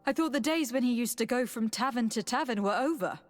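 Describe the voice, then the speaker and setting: A woman's voice: fairly high pitch, lightly acted. Earnest noblewoman played by a woman, music behind. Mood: questioning, insistent. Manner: formal, measured, probing. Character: earnest, determined noblewoman diplomat, protective of her cousin. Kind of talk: dramatic video game cutscene acting.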